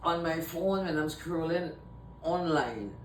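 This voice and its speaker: A woman from Trinidad and Tobago with a Trinidadian accent, talking casually to camera in a rambling way and often repeating herself. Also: slow. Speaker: vlogger. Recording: echoey room, mic at a distance, faint rumble.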